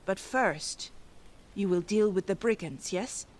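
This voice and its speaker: Woman playing a slow-spoken, shrewd Norse farm wife. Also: medium pitch, natural voice. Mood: persuasive. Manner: measured, persuasive, wry. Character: shrewd, sharp-tongued Norse farmer's wife. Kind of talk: dramatic video game acting.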